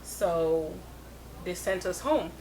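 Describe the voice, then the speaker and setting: A woman who is the guest in an interview, chatting casually about her life in music, laughing often and sending shout-outs to friends. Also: lowish voice, lively intonation, slow pace, slight background noise, poor audio. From Europe.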